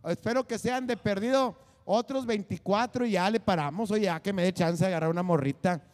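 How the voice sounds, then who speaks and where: Rowdy comedian in his forties, high-pitched, handheld mic in a reverberant studio. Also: brisk. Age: 40s. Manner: rowdy, crude joking, many fillers. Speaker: comedian and show host. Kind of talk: casual comedic monologue. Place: small studio set with drum kit behind a desk.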